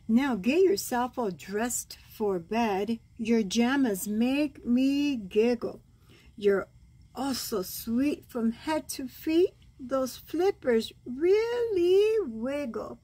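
Slow-reading older woman, high slightly husky voice. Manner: gentle, expressive, cooing over pictures. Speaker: grandmother reading storybooks to children. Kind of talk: read-aloud bedtime story with side comments. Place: small room at home, indoors.

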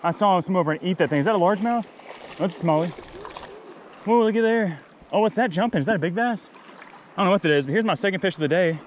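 Excitable man fishing a creek. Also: high-pitched, fast, pauses often, slight background noise. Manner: excitable, explains the spot while fishing. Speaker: fishing vlogger. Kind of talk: casual outdoor fishing commentary. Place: outdoors wading a mountain creek.

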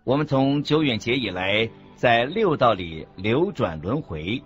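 Man narrating a scripted buddhist lecture, lively intonation, music faintly behind, muffled audio. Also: medium pitch, unhurried. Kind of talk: scripted dramatized religious narration. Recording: dull lo-fi audio with background music.

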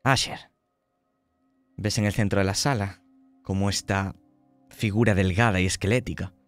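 A man narrating a tabletop horror game as game master, slow and dramatic as he describes scenes. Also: lowish voice, steady tone, no room sound, faint music behind.

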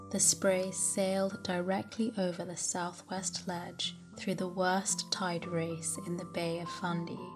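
A woman narrating a classic sailing memoir as a sleep story, reading slowly and softly and dropping into whispers at times. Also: fairly low pitch. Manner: slow, soft, calm reading with whispered stretches. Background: soft music.

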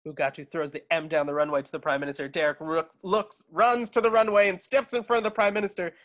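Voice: medium pitch, level tone, quick pace, fairly bright tone. A narrator reading screenplay stage directions for a table read over a video call.

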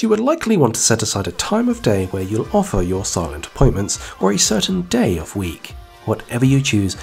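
Man, software tutorial voice-over, very animated pitch, soft music behind. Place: voice-over over screen recording.

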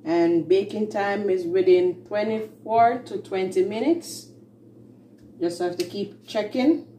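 A woman's voice: mid-range voice, mellow, far from the mic, slight background noise. A woman hosting a home cooking video, talking through the steps plainly with fillers in her kitchen. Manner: unhurried, plain step-by-step talk with fillers. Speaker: home cooking channel host. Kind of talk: casual cooking demonstration. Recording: roomy kitchen, voice off-mic, faint music.

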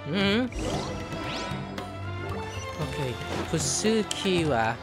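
A man's voice: a little high, sing-song, very slow, loud music behind the voice. A man streaming a video game with playful, goofy live commentary, breaking into sound effects. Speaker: gaming streamer reacting to a game.

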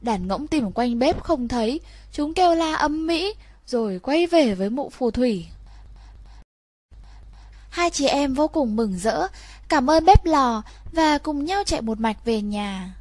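A woman's voice: high voice, animated, a little slow, a little mellow, no room sound. A woman storyteller narrating a children's fairy tale from a script.

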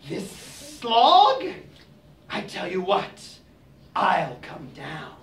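A huge, drowsy snake stretches out every word in a lazy drawl, as a male storyteller plays him. The voice sits lower than the teller's own and sounds far off in an echoey room. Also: wildly swooping pitch, a bit bright, a little hiss or hum, exaggerated voice. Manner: slow, drawling. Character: lazy slow-talking big male snake. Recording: roomy, from a distant mic.

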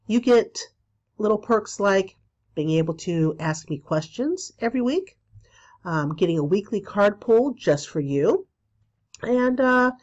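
A woman's voice: mid-range voice, lo-fi sound. Stuffy-voiced woman with a cold. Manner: chatty, many ums and trailing pauses. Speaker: spiritual podcast host. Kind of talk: casual solo podcast talk. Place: small room indoors.

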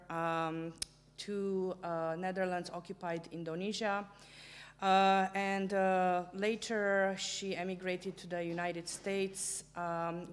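Halting woman giving a gallery talk. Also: on the low side. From Romania. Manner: halting, many fillers, earnest. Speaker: curator giving a book talk. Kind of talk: lecture presentation. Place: small gallery room, indoors. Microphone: table microphone on stand.

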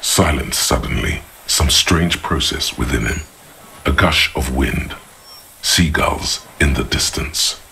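Casting: a detective's inner voice, extremely low, measured and literary game narration over music. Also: male voice, creaky, pushed and bright, slight background noise, exaggerated voice. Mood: hushed, grave. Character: grave, observant inner voice narrating a detective's thoughts. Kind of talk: dramatic second-person game narration. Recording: music under the voice. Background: background music.

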